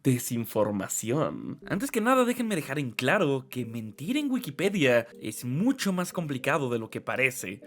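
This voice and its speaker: A man's voice: medium pitch, huge pitch swings, measured pace, hardly any pauses, fairly bright tone. A man reads humorous scripted video narration in a conversational, mock-serious way with sarcastic asides. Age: 20s. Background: background music.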